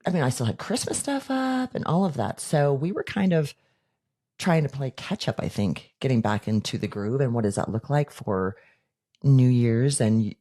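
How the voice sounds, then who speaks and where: A woman's voice: very deep voice, mellow. A middle-aged woman co-hosting a podcast in casual chat, upbeat and affirming her husband's points. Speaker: podcast co-host.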